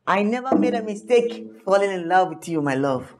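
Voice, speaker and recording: A man's voice: high-pitched, heavily acted. A man with a Nigerian accent playing a lovesick, penniless young boyfriend in a comedic melodrama, pleading theatrically and repeating himself. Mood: adoring, sweet.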